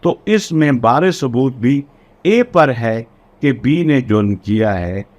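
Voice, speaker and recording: Slow-paced Pakistani male law lecturer. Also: mid-range voice, expressive, darkish tone, lo-fi sound. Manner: didactic, repeats and rephrases key points. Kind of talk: explanatory law lecture mixing Urdu and English.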